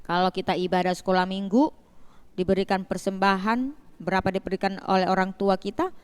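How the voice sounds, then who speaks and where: A woman telling a Bible story to children as a Sunday school teacher, in an animated way with rhetorical questions and repetition. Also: medium pitch, brisk, clear, resonant tone.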